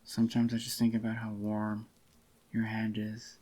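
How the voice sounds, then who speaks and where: A man doing a soft-spoken, intimate ASMR roleplay as an adoring, possessive boyfriend, in a slightly groggy, unhurried murmur. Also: fairly low pitch, monotone. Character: adoring possessive young boyfriend, tender and clingy. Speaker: ASMR voice actor.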